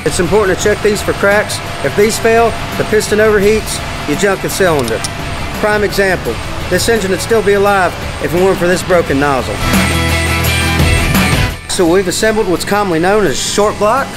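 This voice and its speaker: A man's voice: high voice, normal speed, over music, rough recording. A middle-aged man with an American accent, a folksy, plain-spoken diesel mechanic explaining a job step by step. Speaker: diesel mechanic presenting a tutorial. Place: large indoor heavy equipment workshop.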